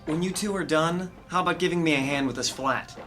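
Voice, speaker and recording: A man's voice: a little high, a little fast, a bit bright, soft background music, natural voice. A man acting in a radio drama as a grumbling, sardonic young college theatre techie in naturalistic dialogue. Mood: weary, aggrieved.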